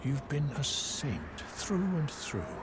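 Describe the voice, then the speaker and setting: A man's voice: mid-range voice, very animated, slow pace, heavy background noise. A man playing a grave, courtly sage of sorcery in a video game, with solemn, archaic phrasing. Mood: solemn, grateful. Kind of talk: video game character acting.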